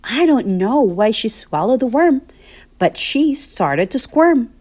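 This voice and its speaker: Female storybook reader for young children: higher-pitched, unhurried, very lively sing-song delivery. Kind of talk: read-aloud picture book narration.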